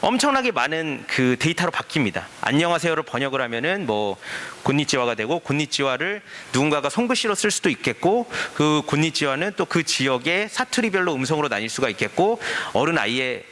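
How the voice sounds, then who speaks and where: A man speaks at a forum, his fairly high, bright voice moving a lot in pitch. He explains step by step and poses rhetorical questions to the audience. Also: very animated, fairly quick, slight background noise. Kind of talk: conference presentation. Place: conference stage.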